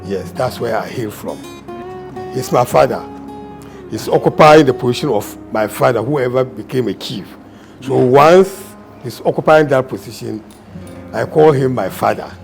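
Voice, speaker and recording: Man welcoming guests at a palace, gravelly voice, faint music behind. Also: mid-range voice, normal speed. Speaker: palace official greeting guests. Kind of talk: ceremonial welcome.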